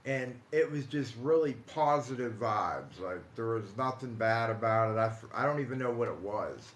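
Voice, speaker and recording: A man in his forties with an American accent, telling personal stories casually on a live stream in a halting, repetitive, conversational way. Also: mid-range voice, lively intonation. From Ohio, United States. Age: 40s. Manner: halting, repeats himself, conversational. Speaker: host of a paranormal storytelling live stream. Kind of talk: casual live storytelling to viewers. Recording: roomy echo, light background noise.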